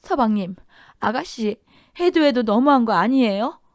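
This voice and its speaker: A woman's voice: fairly high pitch, lively intonation, normal speed, dry recording, studio quality, voice pushed up. A woman voicing a widowed daughter-in-law, speaking in a raised, emotional voice. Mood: angry. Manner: tearful, pleading, then sharply reproachful.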